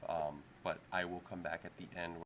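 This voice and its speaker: A man's voice: low voice, level tone, moderate pace, a bit bright. A man giving a casual drawing tutorial, thinking aloud in an informal, encouraging, musing way as an art teacher. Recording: clearly audible background noise.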